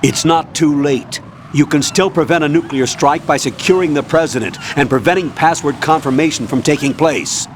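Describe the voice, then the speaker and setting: A man's voice: on the high side, moderate pace, slight background noise, lightly acted. An actor playing a curt, authoritative male military colonel in a video game, with clipped delivery. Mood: commanding. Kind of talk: dramatic video game acting.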